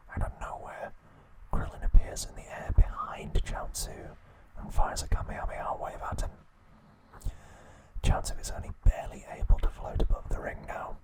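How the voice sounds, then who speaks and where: A male ASMR host speaks entirely in a soft whisper. He narrates from a script with a measured, soothing bedtime delivery, keeping his voice unvoiced throughout. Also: poor audio.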